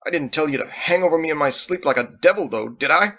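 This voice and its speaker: A man's voice: on the high side, animated, fast, pushed and bright, muffled recording, voice pushed up. A man voicing a stern, irritable young church knight in a scolding, emphatic way for a story reading. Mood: startled anger.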